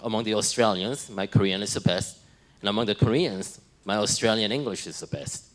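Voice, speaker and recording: Low-voiced man interpreting into English. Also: brisk, a bit bright.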